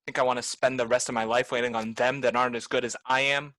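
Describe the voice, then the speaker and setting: A greedy, bitter butler who schemes for treasure, voiced by a man. His tone is bright and forceful, and the dry recording keeps him close. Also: mid-range voice, level tone, fast talker, no room sound, close to an everyday voice. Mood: scheming. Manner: contemptuous, pushy. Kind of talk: amateur radio play acting.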